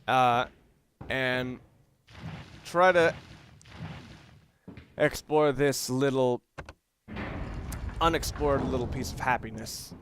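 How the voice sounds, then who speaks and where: A man's voice: medium pitch, slow, long pauses, fairly bright tone, slight background noise. A man giving casual, offhand and somewhat rambling commentary while playing a video game. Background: video game music.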